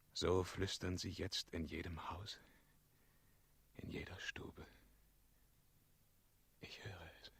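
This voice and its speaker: An actor in a 1960s German radio play voicing a mortally wounded, idealistic knight, husky and sorrowful, moving between halting whispers and impassioned declamation. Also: male voice, low voice, a bit bright, exaggerated voice. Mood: tender, resigned. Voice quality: husky, breathy, gravelly.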